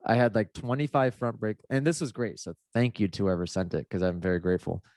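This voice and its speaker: A man's voice: on the low side, steady tone, quick pace, a little mellow, no echo, studio quality. A man chatting casually in a podcast, rambling and laid-back with lots of fillers, his nose a little stuffed up. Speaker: motocross racer.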